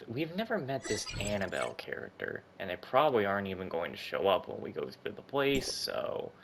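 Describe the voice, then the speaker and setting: A man's voice: deep voice, wildly swooping pitch, brisk, vocal fry, slight background noise. A man giving casual, rambling gaming commentary, reacting aloud as he plays. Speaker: gaming YouTuber. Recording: loud game music behind commentary.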